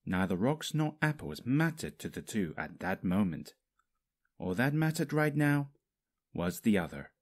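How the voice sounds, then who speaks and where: Low, expressive male story narrator. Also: measured pace. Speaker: fanfiction narrator.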